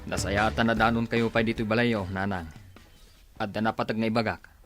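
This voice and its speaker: A man's voice: lowish voice, steady tone, fairly quick, music in the background, close to an everyday voice. A man playing an earnest, worried father in an audio drama, speaking politely. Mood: earnest, concerned. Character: earnest, worried father providing for his child.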